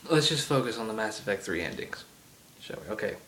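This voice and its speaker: Man, heavy Southern accent, chatty, rambling, lots of ums, iPod recording, faint rumble behind. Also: lively intonation, a little fast, a bit bright. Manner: chatty, many ums and asides. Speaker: gaming vlogger. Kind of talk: rambling late-night game rant. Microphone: iPod camera.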